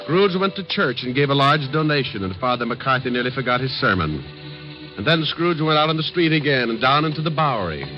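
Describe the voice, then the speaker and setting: Man as folksy radio narrator over music, lively pitch. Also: fairly quick, natural voice. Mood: amused, storytelling. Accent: American. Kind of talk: scripted 1950s radio drama narration. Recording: muffled vintage radio recording with music.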